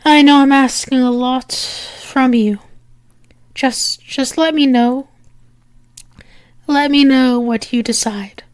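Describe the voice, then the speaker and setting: Woman acting as a lovesick demon girlfriend, high voice, really slow and halting, earnest asmr roleplay. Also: fairly even intonation, clean tone, dry recording. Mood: resigned, tender. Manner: earnest, halting, repeating herself in pleas. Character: lovesick female demon pleading with angel lover.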